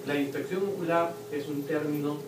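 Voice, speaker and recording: A man's voice: fairly high pitch, fairly even intonation, normal speed. A man with a Peruvian accent speaking on a university panel, explaining points with examples and occasional restarts. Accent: Peruvian Spanish. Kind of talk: academic panel talk. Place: lecture hall at a university event. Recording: roomy, distant hall recording with noise.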